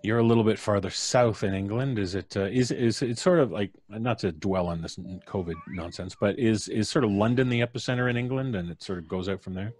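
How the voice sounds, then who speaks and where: Male host, North American accent, fairly low voice. Jovial, casual, with playfully ornate introductions. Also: fairly quick, hardly any pauses, a little mellow. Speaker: host and showrunner of a storytelling podcast. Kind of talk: casual live-stream introductions. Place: at home, on a live video stream.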